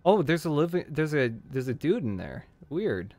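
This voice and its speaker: A man with a hoarse voice, casually commenting on his gameplay and joking at the enemies on screen. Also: a little high, big pitch swings, normal speed, a little mellow, no echo. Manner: jokey, talks to enemies on screen. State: hoarse from screaming. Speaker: game streamer.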